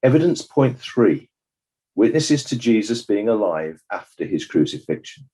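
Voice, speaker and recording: Male actor as judicious inquest coroner, husky, mellow, formal, deliberate, impartial, scripted courtroom drama. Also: on the low side, big pitch swings, exaggerated voice. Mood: measured, judicious. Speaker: presiding judge of inquiry.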